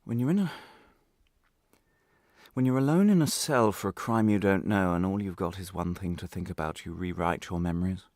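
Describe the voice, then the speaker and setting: A man's voice: low voice, moderate pace, darkish tone, no echo, studio quality, natural voice. A man playing a guilt-ridden former prisoner telling his story in a radio drama, intense and brooding, in broken, repetitive phrases.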